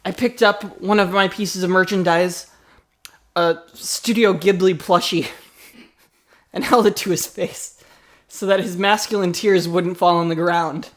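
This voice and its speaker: Improv comedy podcast host, halting and playful. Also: mid-range voice, steady tone, a bit bright.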